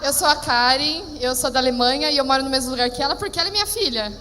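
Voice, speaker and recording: A woman interpreting live on stage, lively and quick. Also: high-pitched, slight background noise. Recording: reverberant hall, faint ambience.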